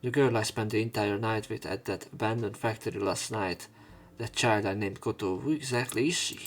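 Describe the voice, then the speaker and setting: Guy with a lowish voice narrating a visual novel playthrough, casual commentary. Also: normal speed. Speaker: let's play streamer.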